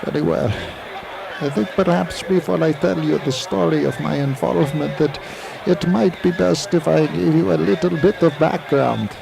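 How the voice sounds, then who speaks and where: An actor playing a formal, secretive, eccentric physics professor with courtly, pedantic phrasing in a 1980s audio drama. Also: male voice, a little high, moderate pace, creaky, rough recording. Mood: guarded, solemn. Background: racetrack crowd.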